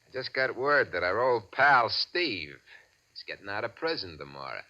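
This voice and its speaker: An actor playing a cocky, greedy American racketeer who talks in boastful gangster slang, in the style of 1940s radio crime drama. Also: male voice, fairly low pitch, sing-song, moderate pace, sharp tone, full character voice. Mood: smug, domineering. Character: cocky, greedy small-time racketeer man with a swaggering streak. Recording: dull, band-limited old radio recording.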